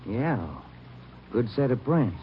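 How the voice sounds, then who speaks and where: Faintly noisy old radio drama, muffled sound, police detective sidekick, mid-pitched, wry, grumbling, terse. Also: male voice, sing-song, slow. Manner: terse, plain-spoken, wry. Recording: dull old radio recording, faint noise.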